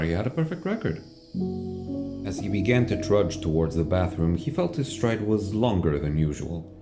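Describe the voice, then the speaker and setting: A man reading scripted third-person narration for an audio drama, as a storyteller. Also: sits low. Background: music.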